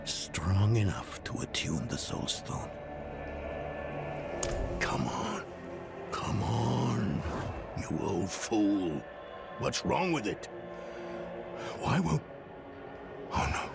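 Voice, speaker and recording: An actor playing a burdened, grumbling old mystic in a dark fantasy game, with a gravelly voice and brooding, halting manner. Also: male voice, lowish voice, exaggerated voice. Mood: ominous, straining, then dismayed. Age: older. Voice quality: gravelly, breathy at times. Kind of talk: dramatic video game dialogue. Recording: dramatic game music underneath.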